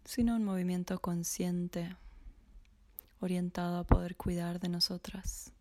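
A woman slowly guiding a body scan meditation in a soft, half-whispered voice. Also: fairly low pitch, flat delivery, no room sound. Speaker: meditation guide.

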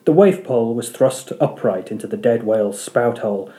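A man's voice: mid-range voice, lively intonation, moderate pace, warm tone, roomy sound. A man reading literary prose aloud as scripted audiobook narration. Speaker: audiobook reader.

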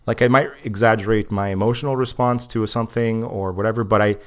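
A man's voice: fairly low pitch, steady tone, quick pace, smooth. A man chatting casually and comedically as a podcast host, in a rambling, self-deprecating way.

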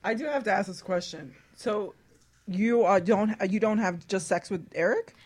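A woman's voice: mid-range voice, normal speed, darkish tone, breathy edge. A woman hosting a raunchy radio talk show, asking cheeky, probing questions. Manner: asks probing cheeky questions, laughs often. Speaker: host. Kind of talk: raunchy casual chat.

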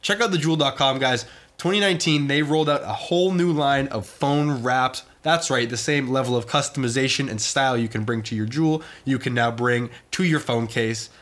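Young man, stuffy nose from a cold, casual podcast host, playful, mid pitch. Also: fairly quick, fairly bright tone. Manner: joking, reads ad copy playfully.

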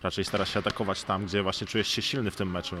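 Low-pitched man over noticeable background noise. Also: steady tone, very fast, sharp tone. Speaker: professional basketball player, interview guest. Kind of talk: analytical sports interview talk. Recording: clearly noisy background ambience.

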